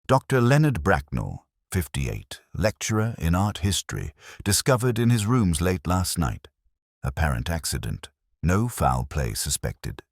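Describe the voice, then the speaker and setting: A melancholy older English detective , played by a man, recounts a case in the style of a radio drama. The voice is extremely deep and moves expressively through measured, brooding literary narration. The sound is dry, with very little room around it. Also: very animated, vocal fry, no room sound, full character voice. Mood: brooding, reflective.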